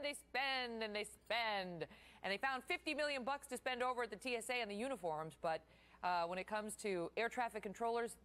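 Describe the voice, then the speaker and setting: A woman's voice: medium pitch, fairly bright tone. A woman with an American accent hosting television news, reading out reports and moving into conversational asides. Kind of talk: broadcast news anchoring and interviewing.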